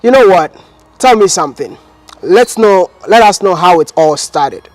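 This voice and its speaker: High-voiced guy from ghana interviewing a guest, playful and hypes her up. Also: animated, normal speed, no room sound, poor audio. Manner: playful, self-deprecating, hypes his guest. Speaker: interviewer, video host. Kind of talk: enthusiastic street interview.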